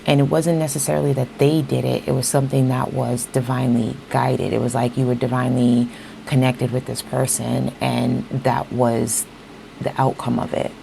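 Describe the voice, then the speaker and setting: A woman giving a casual tarot reading straight to her viewers, a little hoarse as she is losing her voice, often checking in with "okay?". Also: extremely low pitch, little pitch movement, moderate pace, dark tone, a little hiss or hum. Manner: talks straight to viewers, repeats "okay?" and "you know". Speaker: tarot reader.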